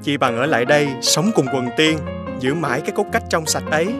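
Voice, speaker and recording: An actor playing a lofty, proud male immortal in an animated fairy tale. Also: fairly high pitch, fast, music in the background, close to an everyday voice. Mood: lofty, inviting.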